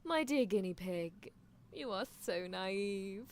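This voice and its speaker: A woman's voice: medium pitch, animated, slow. A woman playing a possessive, coolly unethical female mad scientist in a live ASMR roleplay, coaxing the listener with theatrical delivery. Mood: haughty, amused. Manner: languid, theatrical, coaxing, with soft chuckles.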